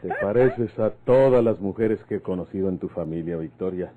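An actor playing a proud, vengeful bandit with a northern Mexican accent in an old radio drama, commanding and taunting. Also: male voice, deep voice, normal speed, narrow, tinny audio. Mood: calm, grave.

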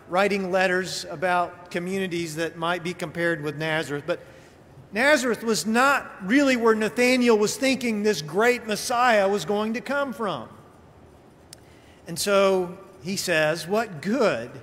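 A middle-aged man preaching a sermon as a pastor, in a conversational storytelling style with emphatic pauses and rhetorical questions. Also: sits high, fairly bright tone. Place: church sanctuary at a pulpit. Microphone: pulpit gooseneck microphone. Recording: reverberant church hall, clear background noise.

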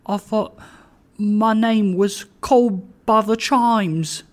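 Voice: mid-range voice, fairly even intonation, slow pace, voice pushed up, lightly acted. A narrator voicing a humble, timid, poor old man in a storybook, pleading earnestly and deferentially. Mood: timid supplication.

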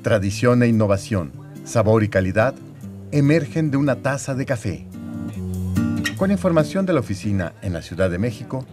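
A male news reporter narrates a scripted feature. His voice sits at a middle pitch, with soft guitar music underneath. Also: very animated, normal speed. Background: guitar music bed.

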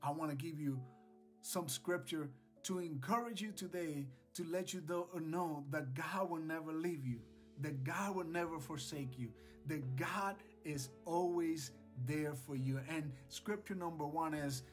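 Male online preacher, 40s, slightly high voice, earnest devotional exhortation with scripture, soft guitar music underneath. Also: unhurried. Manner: earnest, exhorting, repeats phrases for emphasis. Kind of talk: devotional sermon to camera, scripture reading. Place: small indoor home studio.

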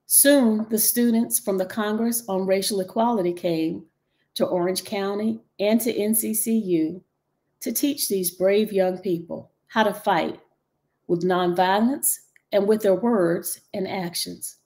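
A middle-aged woman from North Carolina giving a measured, earnest local history talk over a video call from her dining room. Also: medium pitch, fairly even intonation, darkish tone. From Chapel Hill, North Carolina. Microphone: video call webcam.

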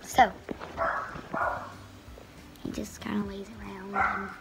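Hoarse young girl vlogging softly. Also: medium pitch, completely flat delivery, slow pace, very noisy. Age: young teen girl. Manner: rambling, soft and breathy. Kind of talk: casual vlog chat. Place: bedroom at home. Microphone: phone camera held close.

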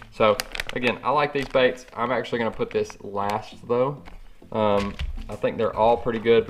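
Casual American male vlogger for an unboxing review, fairly low voice, chatty and informal, music underneath. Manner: informal, addresses viewers as y'all. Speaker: fishing channel host. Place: indoors at a cluttered worktable.

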